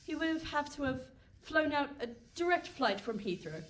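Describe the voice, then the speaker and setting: A woman's voice: sits high, very animated, normal speed, a bit bright. A woman playing a cool, confident young private investigator with a dry manner, in a comic radio play on stage. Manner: dry, matter-of-fact. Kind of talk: comic radio play before a live audience. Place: hall stage with a live audience. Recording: distant stage pickup with some noise.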